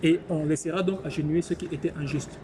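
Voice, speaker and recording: Man, street evangelist, slightly high voice, steady questioning, traffic noise behind. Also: fast talker. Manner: calm, probing questions. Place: city street outdoors. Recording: noisy street with passing traffic.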